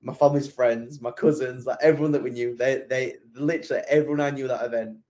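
A young man in his twenties talking casually as a podcast guest, his voice sitting at a middling pitch. He rambles along with lots of fillers and restarts. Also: fairly even intonation, fast talker, darkish tone, dull audio. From England. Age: 20s. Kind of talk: casual interview chat.

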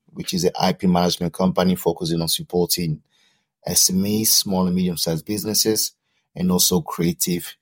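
Low, husky-voiced man from Cameroon; podcast guest giving long explanations. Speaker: podcast guest, founder and CEO. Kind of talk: interview answers.

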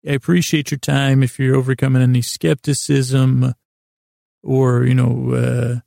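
A man hosting a sleep podcast in a creaky, gravelly voice, rambling haltingly and nonsensically with apologetic, self-deprecating asides, recorded in a small closet. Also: mid-range voice, little pitch movement, mellow, no echo, studio quality. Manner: halting, drawn-out, meandering delivery with repeated self-interruptions. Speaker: podcast host.

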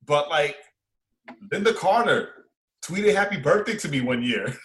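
A man, chatting casually and excitably as a podcast co-host, using slang and repeating phrases for emphasis. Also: a little high, unhurried. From Far Rockaway, New York, United States. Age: 40s. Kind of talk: casual fan chat, reviewing and reacting.

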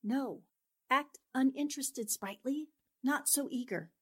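A woman's voice: high voice, a little slow, husky. An actress playing a sly, bossy, sophisticated newspaperwoman with an arch, coaxing manner in a 1940s-style radio drama. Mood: urgent coaching.

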